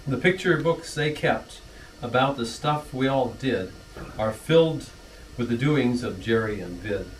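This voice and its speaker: Son reading a rhyming poem from afar. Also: male voice, mid-range voice, animated, unhurried, roomy sound, recorded from a distance, slight background noise, low-quality recording. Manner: earnest, with small stumbles and soft laughs. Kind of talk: reading his own rhyming poem aloud. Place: church hall.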